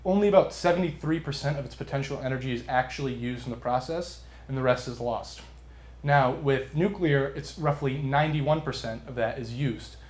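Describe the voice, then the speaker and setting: A young man, a student contestant, explaining his business pitch to judges in an earnest, conversational way. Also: brisk. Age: young adult. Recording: roomy, echoing live sound.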